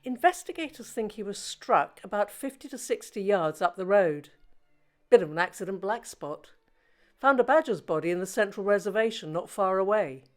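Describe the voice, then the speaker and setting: An actress playing an unsentimental British forensic pathologist with a dry, clinical manner in a radio play. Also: female voice, mid-range voice, animated, moderate pace, lightly acted. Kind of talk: naturalistic radio play acting.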